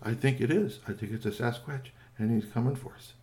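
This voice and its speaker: A man putting on a jittery young troll for a spoken fairy tale, pitched well above a man's usual voice. He stammers and trips over his words, as timid as can be. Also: fairly quick, darkish tone, lightly acted. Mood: nervous. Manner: stammering, hesitant.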